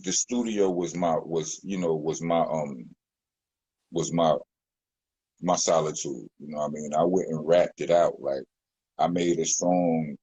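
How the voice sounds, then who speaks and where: A man with a very deep, husky voice, speaking as a rapper in a sit-down interview. He rambles and circles back, filling his talk with "you know" and restarts. The sound is dry and close. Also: warm tone, no room sound. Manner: rambling, many fillers and restarts. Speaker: rapper, guest.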